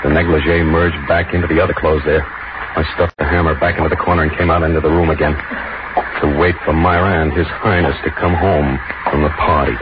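A man's voice: rumbling low, level tone, brisk, very noisy. An actor with an American accent playing an anxious, weak-willed lovesick man narrating a 1940s radio thriller, hesitant and intense. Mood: brooding, uneasy. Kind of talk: 1940s radio suspense acting with first-person narration. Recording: muffled 1940s radio recording, music behind.

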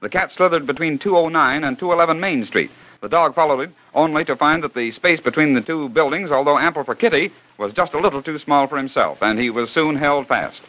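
A male announcer narrates a scripted 1940 radio program with brisk, wry storytelling. He speaks quickly in a bright, forceful tone. The old recording sounds dull and muffled. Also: on the high side, lively intonation.

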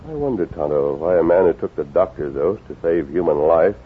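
An actor playing a calm, upright western lawman hero in a 1950s radio drama, measured and authoritative. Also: low voice, slightly rough. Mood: thoughtful, resolute. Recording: tinny, noisy 1950s radio recording.

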